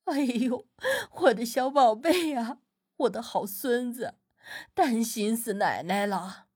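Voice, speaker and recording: Woman voicing a gushing, doting grandmother, slightly husky. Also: a little high, slow pace, a little mellow, dry recording, clean studio sound. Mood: doting relief. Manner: gushing, exclamatory. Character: doting grandmother, emotional, grateful, easily flustered.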